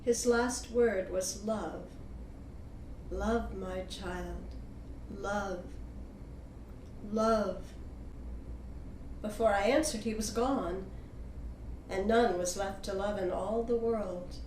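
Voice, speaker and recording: An actress plays an earnest, yearning young orphaned woman poet, reciting verse with drawn-out, deliberate phrasing in an audio drama. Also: mid-range voice, slight background noise. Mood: grieving, intense. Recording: roomy, echoing, loud music underneath. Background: guitar music.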